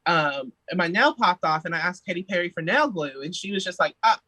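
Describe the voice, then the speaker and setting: A man's voice: high voice, animated, brisk, sharp tone, no room sound. A young man, a singer, chatting playfully as a podcast guest and laughing often. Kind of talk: casual interview chat.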